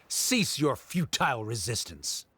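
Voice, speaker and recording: A man's voice: mid-range voice, wildly swooping pitch, slow pace, heavy background noise. A man playing a haughty, coldly rational scholar in a video game, arguing formally and with pedantic condescension. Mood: scornful. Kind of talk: theatrical game voice acting.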